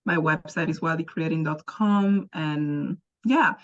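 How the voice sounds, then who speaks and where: A woman's voice: sits low, measured pace, lo-fi sound. A woman whose first language is Italian, speaking English with an Italian accent as a podcast guest, chatting expressively and laughing often. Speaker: guest, business founder. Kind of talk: casual chat.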